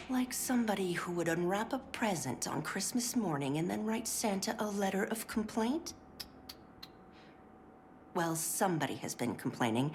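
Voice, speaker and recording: A chirpy 1950s clinic worker, sugary and polite but visibly fraying and pill-addled. Her voice sits a little lower than you'd expect, and she drops into breathy whispers. A steady hiss of background noise runs under her lines, as in a game voice-line rip. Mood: passive-aggressive. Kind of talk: scripted game voice acting.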